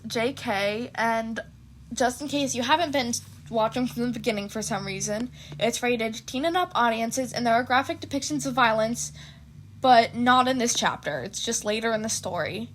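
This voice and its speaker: A woman's voice: fairly high pitch, normal speed, a bit bright, a little hiss or hum, low-quality recording. A woman narrating fan fiction expressively, with casual asides.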